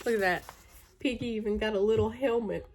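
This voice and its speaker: A woman's voice: a little high, a little fast, darkish tone, slight background noise. A woman reading a storybook aloud to children, adding chatty asides and questions for the listeners.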